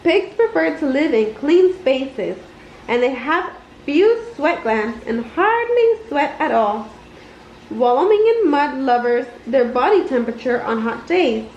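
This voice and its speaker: A woman with a high voice reads a children's story aloud, unhurried and expressive. Her pitch moves up and down a lot. The recording sounds roomy, like a simple home setup. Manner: animated, deliberate storytelling. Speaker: storybook reader. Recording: roomy, slightly dull, noisy home recording.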